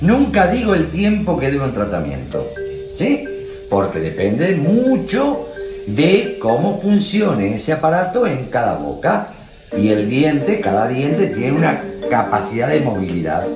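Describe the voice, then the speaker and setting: A man's voice: medium pitch, wildly swooping pitch, a little slow. A middle-aged man, a dentist teasing and reassuring his daughter. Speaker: dentist, her father. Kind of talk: casual explaining to a patient. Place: small dental clinic room. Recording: roomy clinic with music behind.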